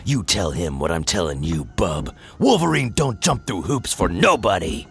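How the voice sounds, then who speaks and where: A man playing a gruff, rebellious mutant fighter in an audio drama, growling slangy defiance in a gravelly voice. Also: sits low, sing-song, moderate pace, a bit bright, soft background music, heavily acted. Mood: angry, defiant.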